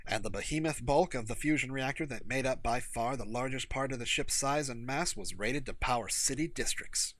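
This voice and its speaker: Male audiobook narrator. Animated pitch, crisp forward edge, dry close sound. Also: dry recording. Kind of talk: scripted fiction narration.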